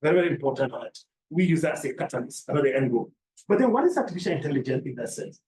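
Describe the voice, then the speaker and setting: A man's voice: a little high, animated, racing, a little mellow. A man from Cameroon giving a seminar lecture, explaining by repeating key points and asking rhetorical questions. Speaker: academic presenter. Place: lecture room. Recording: roomy, from a distance, faint background noise.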